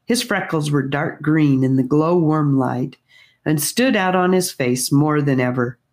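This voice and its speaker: A woman reads a children's fantasy story aloud, gentle and unhurried. Her voice sits low for a woman. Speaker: audiobook reader. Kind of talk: storybook narration.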